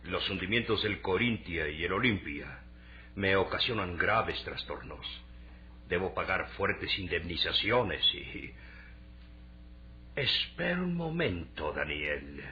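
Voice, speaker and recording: A man's voice: sits low, lively intonation, slow pace, pushed and bright, slight background noise, exaggerated voice. A man playing a shrewd middle-aged shipping magnate in a Latin American Spanish radio drama, theatrical and probing. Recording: dull, lo-fi radio drama recording.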